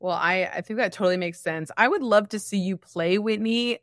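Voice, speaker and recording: A woman's voice: mid-range voice, fairly quick, no room sound, clean studio sound. A woman co-hosting a podcast in casual comedic chat, with a playful, teasing, wry manner. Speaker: podcast co-host.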